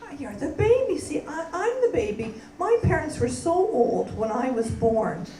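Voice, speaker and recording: A woman from Canada doing a stand-up comedy set, delivering deadpan punchlines and bantering with the crowd on a club stage. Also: high voice, moderate pace. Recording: roomy live club sound from a distance with crowd laughter.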